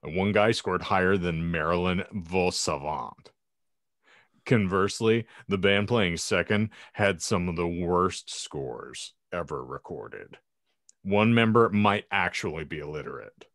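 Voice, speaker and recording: Low-voiced, wordy male podcast host. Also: animated, a little slow, fairly bright tone, no room sound, clean studio sound. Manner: wordy, elaborate, mock-formal phrasing. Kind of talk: hosting a panel discussion, posing hypotheticals.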